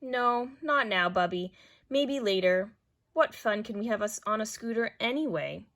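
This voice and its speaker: A woman voicing a young granddaughter in a children's storybook, expressive and questioning. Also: fairly high pitch, measured pace. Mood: glum. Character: doubtful young granddaughter who questions and complains.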